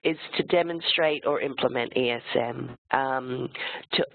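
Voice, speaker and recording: A webinar presenter with a cold and a croaky voice, explaining the material step by step, slide by slide. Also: mid-range voice, a little slow. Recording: tinny phone-like line, dry sound.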